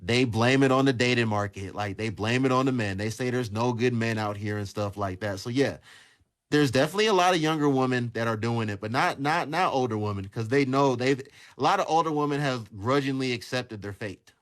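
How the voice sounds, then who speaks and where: Guy hosting a livestream, medium pitch, casual talk, repeats himself to make a point. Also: brisk. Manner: emphatic, repeats phrases for emphasis. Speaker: livestream host. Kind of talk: casual call-in livestream discussion. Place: livestream on a social app.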